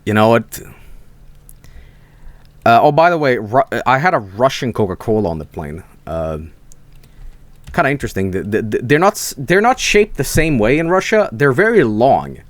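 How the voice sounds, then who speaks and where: A man's voice: medium pitch, big pitch swings, normal speed, pauses often, no room sound. A young man from Sweden, telling rambling stories on a casual stream, swearing freely and restarting his sentences.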